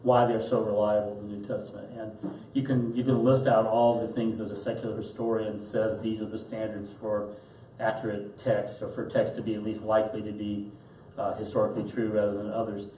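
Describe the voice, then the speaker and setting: A man teaching a class and answering questions in a thoughtful, halting way. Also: lowish voice, flat delivery, warm tone. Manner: thoughtful, halting with fillers and restarts. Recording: roomy echo, mic at a distance, faint hiss.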